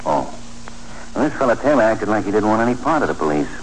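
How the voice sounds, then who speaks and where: Casting: wry, folksy police detective, deep and animated, vintage radio drama with faint hum. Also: male voice, quick pace. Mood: wry, knowing. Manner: slangy, wry, clipped phrasing. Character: folksy, slangy male police detective, shrewd and unhurried. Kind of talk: 1940s radio acting. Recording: humming, noisy 1940s radio recording.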